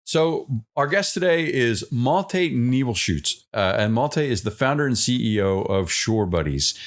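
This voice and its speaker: A man's voice: mid-range voice, sing-song, unhurried. A man hosting a casual podcast interview, easygoing, laughing often and restarting his sentences. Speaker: podcast host.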